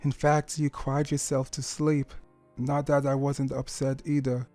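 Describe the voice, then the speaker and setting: A man voicing a gentle, loving middle-aged father pony in an audio story, speaking in an earnest, tender, measured way. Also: mid-range voice, little pitch movement, soft background music, lightly acted. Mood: regretful.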